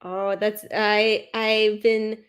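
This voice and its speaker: A woman's voice: mid-range voice, flat delivery, drawn-out words, clean tone. A woman competing in a pun contest, bantering playfully with hesitant fillers and giggles over a video call. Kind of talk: quick-fire pun banter.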